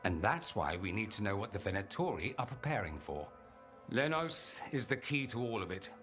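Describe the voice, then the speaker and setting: A man's voice: on the low side, sing-song, moderate pace, fairly bright tone. A man playing a suave, witty, self-assured mage in a fantasy game, with a dry, sardonic, theatrical delivery. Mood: grave. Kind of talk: dramatic game dialogue. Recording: reverberant hall, music underneath.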